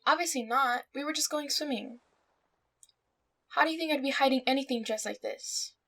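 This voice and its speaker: Girl acting as sassy exasperated big sister, 18, high voice, protective, anime dub. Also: normal speed, sharp tone. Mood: annoyed, defiant. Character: defiant, protective eighteen-year-old big sister. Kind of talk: fan-dub dramatic acting.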